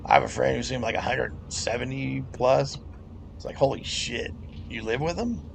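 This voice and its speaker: A middle-aged man shoots the breeze in a gaming voice chat, his voice rough with vocal fry. He rambles from one thought to the next, laughs often and swears casually. A faint hiss sits under his voice. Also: mid-range voice, normal speed. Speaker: gamer in voice chat.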